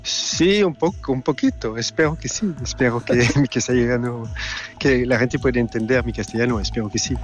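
A middle-aged Swiss man with a French accent, answering interview questions haltingly and repeating words as he searches for them. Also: medium pitch, faint music behind. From Switzerland. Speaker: film director, interview guest.

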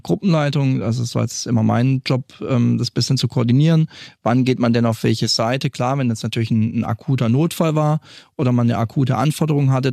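Gravelly man explaining police work. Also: medium pitch, a little mellow, no room sound, studio quality. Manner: explanatory, with some hesitations. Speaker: former police patrol group leader. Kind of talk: explaining in a studio interview. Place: studio.

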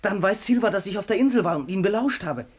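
A performer playing a frightened but plucky young ship's boy in a radio play, earnest, polite and excitable. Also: medium pitch, quick pace, lightly acted. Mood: anxious, earnest. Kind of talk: German-language radio play acting.

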